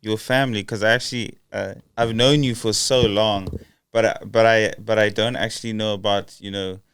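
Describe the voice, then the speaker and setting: A man's voice: fairly low pitch, steady tone, moderate pace, fairly bright tone, clean tone, dry recording. A young man hosting a casual podcast interview, hesitant with many fillers and restarts. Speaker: podcast host.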